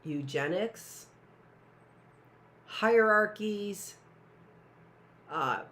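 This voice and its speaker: A woman's voice: mid-range voice, lively intonation, drawn-out words, fairly bright tone. A middle-aged woman teaching a live online class, rambling with sarcastic asides and talking to herself.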